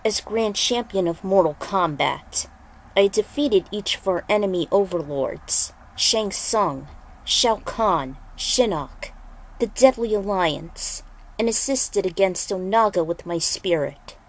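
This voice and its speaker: A woman's voice: medium pitch, expressive, slow, poor audio. A woman voicing an earnest, heroic male warrior monk champion with an intense, dramatic delivery. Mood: resolute.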